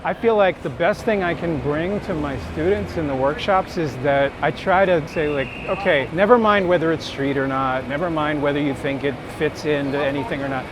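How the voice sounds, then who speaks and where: A man's voice: a little high, normal speed, hardly any pauses. A man who works as a street photographer and teacher, talking casually and reflectively in an earnest, candid, introspective way. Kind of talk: reflective casual talk while walking. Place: outdoors on a busy city street. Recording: noisy city street with traffic. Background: street ambience and vehicles.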